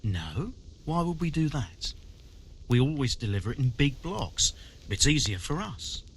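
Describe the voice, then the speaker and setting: Indignant medieval quarry master. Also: male voice, medium pitch, sing-song, a little slow, slight background noise. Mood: blunt, indignant. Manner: blunt, grumbling, defensive. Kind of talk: video game dialogue acting.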